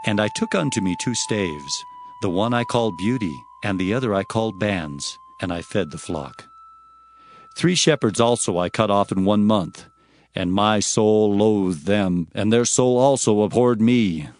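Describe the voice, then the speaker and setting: A man narrating scripture in a dramatized reading, with solemn, declamatory delivery and deliberate phrasing. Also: fairly low pitch, lively intonation, darkish tone. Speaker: Bible narrator. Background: musical score.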